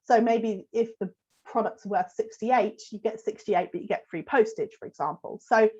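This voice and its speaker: A woman, croaky from a cold, giving a conversational business webinar and answering questions from the chat. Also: mid-range voice, normal speed, a little mellow, muffled recording. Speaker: host giving a business talk. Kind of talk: live webinar with Q&A.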